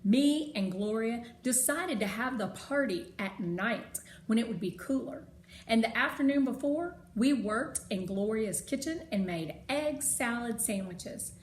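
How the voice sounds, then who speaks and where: A woman reading a children's novel aloud as host, with expressive storytelling narration. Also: fairly high pitch, unhurried. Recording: roomy echo, faint background noise.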